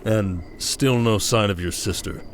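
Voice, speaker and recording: A man playing a steady, protective desert king in an ASMR audio drama, speaking in a measured, intimate way. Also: on the low side, slight background noise. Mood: concerned, tender.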